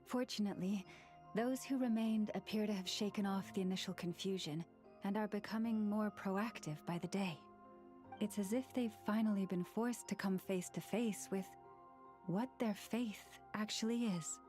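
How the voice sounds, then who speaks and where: Actress as a calm, soft-spoken priestess. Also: female voice, mid-range voice, faint music behind, natural voice. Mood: earnest. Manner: measured, soft-spoken, formal. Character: gentle young woman, a calm and thoughtful spiritual leader. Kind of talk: video game voice acting.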